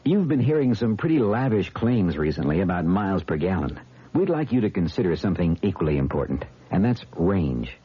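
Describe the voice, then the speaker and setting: An American man giving a measured, scripted vintage radio commercial read. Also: deep voice, big pitch swings, breathy edge. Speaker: commercial announcer. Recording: muffled old radio broadcast.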